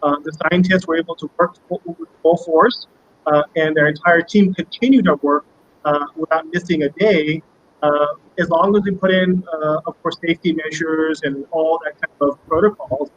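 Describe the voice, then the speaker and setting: A man with a fairly high voice talks as an interview guest. His delivery is conversational and emphatic, doubling back on words. The sound is slightly dull, with clear background noise. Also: fairly even intonation, moderate pace. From United States. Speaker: company co-founder, interview guest. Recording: muffled online call with background noise.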